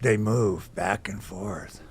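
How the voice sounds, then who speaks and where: An actor playing a friendly, curious townsman with a folksy, halting way of talking in a comic audio drama. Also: on the low side, breathy edge.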